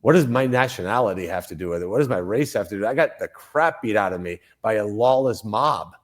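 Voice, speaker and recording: A man's voice: fairly low pitch, animated, brisk. An older man from Colombia, a senator speaking as a guest in a political interview, emphatic, with frequent restarts and repeated phrases.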